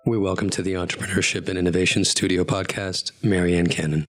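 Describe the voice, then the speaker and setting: A man hosting an interview podcast in a studio, asking polished, measured questions. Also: sits low, little pitch movement, a bit bright, faint music behind. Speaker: podcast host. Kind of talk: interview podcast with scripted intro.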